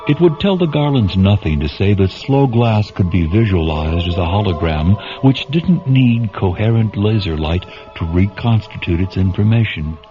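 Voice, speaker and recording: An actor playing the solemn storyteller of a science fiction radio drama, narrating in a measured, literary and dramatic manner. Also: male voice, fairly low pitch, expressive, lightly acted. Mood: solemn, wondering. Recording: dull lo-fi radio recording, music bed.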